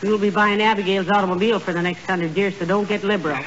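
A woman in a 1940s radio comedy, dry and patient, calmly correcting her husband, on a muffled old broadcast with loud hiss. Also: mid-range voice, quick pace. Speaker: comic lead's wife.

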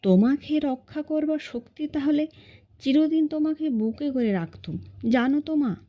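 A woman's voice: high-pitched, normal speed, dull audio, close to an everyday voice. Woman reading as an anxious, protective uncle. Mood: tender concern. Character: protective, anxious, humble uncle guarding his niece.

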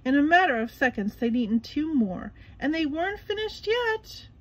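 Woman storybook narrator, high voice, very animated intonation, dramatic emphasis, scripted children's reading. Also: moderate pace, low-quality recording. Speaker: storybook reader.

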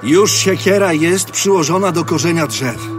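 Male actor as fiery preaching prophet, higher-pitched, declamatory, stern, audio drama, clear music bed. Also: moderate pace, natural voice. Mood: stern rebuke.

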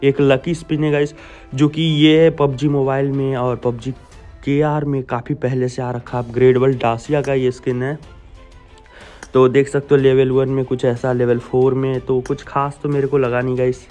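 Young Indian man, very even pitch. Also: moderate pace. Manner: informal, addresses viewers as friends, blunt. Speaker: gaming YouTuber. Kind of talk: casual video game update commentary. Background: background music.

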